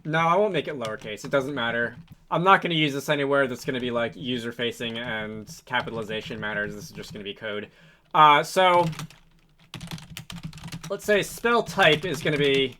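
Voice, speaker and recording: Guy doing a casual coding walkthrough, bright voice, kinda rambly and correcting himself, typing and noise behind him. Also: mid-range voice, normal speed. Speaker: programming video host, game developer. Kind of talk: casual unscripted coding tutorial, thinking aloud. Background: computer keyboard typing and mouse clicks.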